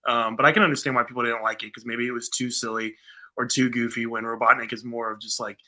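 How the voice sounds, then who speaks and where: A man with a very bright, punchy voice at an average male pitch. He talks like a co-host on a casual fan show, informal and conversational, weighing opinions loosely as he goes. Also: little pitch movement, fast talker. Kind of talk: casual conversational film discussion.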